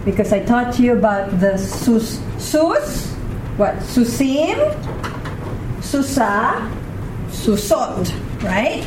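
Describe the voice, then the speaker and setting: A woman's voice: a little high, very animated, very slow. A woman with a Filipino accent teaching a grammar class, patient and repeating key words, often checking in with "okay?". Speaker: Hebrew teacher. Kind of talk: classroom lecture. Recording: noisy room recording.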